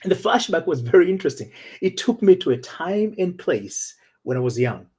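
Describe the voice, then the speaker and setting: A man's voice: high voice, big pitch swings, normal speed, a little mellow, breathy edge. A middle-aged man, a relationship coach telling a personal story in an earnest, personal way. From Tanzania. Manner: earnest and personal, with frequent restarts. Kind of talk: storytelling talk show monologue.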